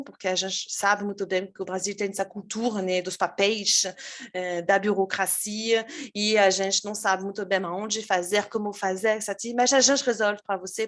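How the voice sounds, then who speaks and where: A Brazilian woman talking casually on a panel, hesitating and repeating words. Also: medium pitch. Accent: Brazilian Portuguese.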